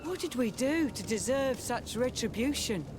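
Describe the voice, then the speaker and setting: A woman's voice: a little high, expressive, moderate pace, close to an everyday voice. A fearful, pious townswoman from a fantasy game, played by an actress. She speaks anxiously, as if lamenting. Noisy outdoor ambience surrounds her. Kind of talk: scripted video game acting.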